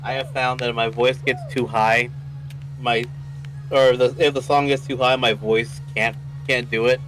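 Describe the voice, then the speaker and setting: A man's voice: mid-range voice, level tone, normal speed. A man chatting casually during a game stream, rambling and often restarting his sentences. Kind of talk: casual chat during gameplay. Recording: noisy with hum.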